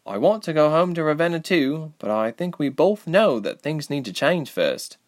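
A man's voice: fairly high pitch, sing-song, moderate pace, voice pushed up. A man voicing a bold, impulsive young woman adventurer in an audiobook, emphatic and insistent but loyal. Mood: apologetic. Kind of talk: audiobook character voice.

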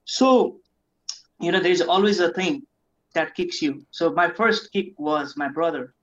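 A man's voice: on the high side, unhurried. Upbeat rambling man, muffled audio. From Nepal. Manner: rambling, repeats words, upbeat. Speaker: guest investor. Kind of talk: casual interview storytelling.

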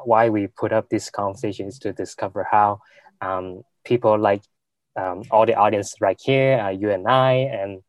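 Young guy from hong kong, kinda low voice, lots of ums and restarts, dry sound. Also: a little mellow, no room sound, rough recording. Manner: halting, many fillers and restarts. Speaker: guest, venture capital investor. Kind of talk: interview answers.

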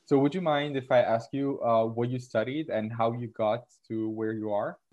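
A man's voice: mid-range voice, steady tone, normal speed, a little mellow. A young man hosting a podcast, asking friendly questions in a casual way. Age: young adult. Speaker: podcast host. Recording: dull sound with faint guitar music.

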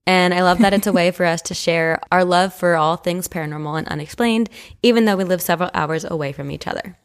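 Woman podcast host w a lowish voice, casual chat n reading out listener stories. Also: fast talker, clear, resonant tone.

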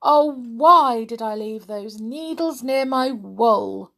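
Woman reader doing a fretful, scatterbrained young woman; high, breathless, stammering. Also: sing-song, a little slow, full character voice. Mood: dismayed.

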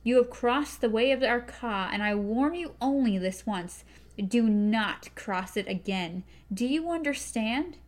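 A woman voicing a stern young male gunslinger who speaks with slow, grave warnings. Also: fairly high pitch, lively intonation. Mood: threatening.

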